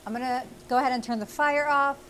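A woman's voice: high voice, steady tone, fast talker, noisy background. Woman teaching a cooking demo. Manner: friendly step-by-step instruction while cooking. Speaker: cooking show host. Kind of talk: cooking demonstration. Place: small studio demo kitchen.

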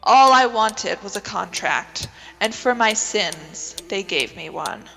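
A woman's voice: mid-range voice, very animated, slow, gravelly, very noisy, full character voice. A woman playing a brash, sardonic podcaster heroine in an audio drama, hard-boiled and bossy. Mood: jaded.

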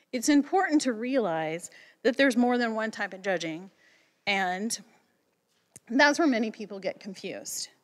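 A woman in her forties delivers a sermon from a pulpit, telling personal stories and stressing key words with sudden rises. Her voice sits in a middle range. The gooseneck mic catches a long reverb from the church around her. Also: medium pitch. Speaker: guest pastor.

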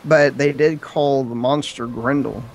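Gravelly laid-back gaming co-host. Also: male voice, mid-range voice, steady tone, unhurried. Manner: laid-back, brief replies, laughs often. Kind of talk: casual gameplay chat.

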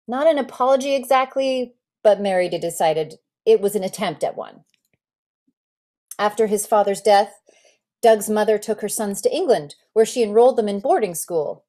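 A woman in her forties reads a memoir as an actor would, with expressive, deliberate phrasing and dramatic pauses, recorded indoors on a video call. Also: mid-range voice. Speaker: actor reading a memoir excerpt. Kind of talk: dramatic literary reading, narrative storytelling.

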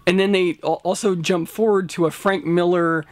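A man in his forties chats as co-host of a review show. His voice is somewhat high and recorded dry and close. He explains his picks casually, in halting phrases that double back on themselves. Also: fairly even intonation, no room sound. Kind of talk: casual top-five list discussion. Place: indoor room lined with shelves of books and discs.

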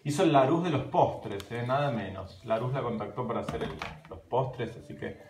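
An Argentine man in his thirties talks easily and animatedly to his live audience, gesturing as he goes. His voice sits at an ordinary middle pitch for a man. The phone at arm's length picks up a roomy, echoey kitchen. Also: moderate pace, noisy background. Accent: Argentine Spanish. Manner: chatty, animated, gesturing while talking. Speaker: live stream host. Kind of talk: casual live stream chat. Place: home kitchen at night, indoors. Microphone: phone camera at arm's length.

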